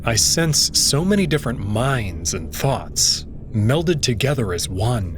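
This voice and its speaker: A man reading dramatic first-person fiction in a dreamy, drawn-out way. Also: mid-range voice, big pitch swings. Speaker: reader voicing a first-person protagonist. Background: music.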